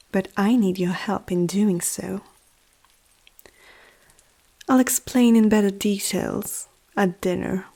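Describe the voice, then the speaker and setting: A woman voicing a powerful, teasing female vampire noble in an ASMR roleplay, speaking softly and often close to a whisper, with an aristocratic, self-assured manner. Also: medium pitch. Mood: reassuring, teasing. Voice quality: soft, breathy, often near whisper. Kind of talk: ASMR roleplay addressed to the listener.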